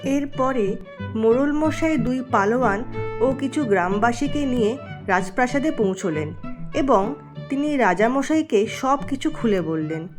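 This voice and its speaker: A woman narrator reading a scripted storybook-style tale for a cartoon. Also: high-pitched.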